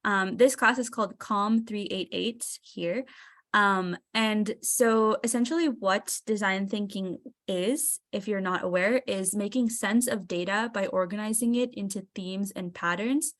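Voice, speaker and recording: A woman's voice: mid-range voice, measured pace. A woman in her twenties giving a casual online talk as a guest speaker, chatty with frequent ums and restarts. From Seattle, Washington, US. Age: 20s. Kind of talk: casual presentation.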